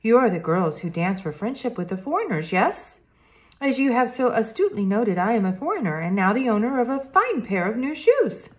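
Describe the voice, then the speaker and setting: Lady doing a proper little boy detective, high and bouncy, super formal and polite. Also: very animated, a little fast, poor audio. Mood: courteous. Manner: stiff formal phrasing, courteous.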